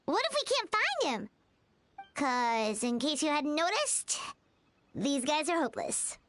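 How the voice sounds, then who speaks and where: A woman voicing a tiny, excitable floating girl companion in a game, talking in bouncy bursts with loud exclamations. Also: sits high, measured pace, no room sound, full character voice. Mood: chattering. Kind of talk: game cutscene voice acting. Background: faint game music.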